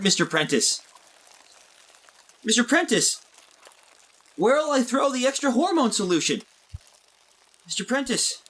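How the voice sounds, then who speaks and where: Man playing very high-pitched eager boy. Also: a bit bright, heavily acted. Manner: calling out, repetitive, insistent. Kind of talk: 1940s radio drama acting.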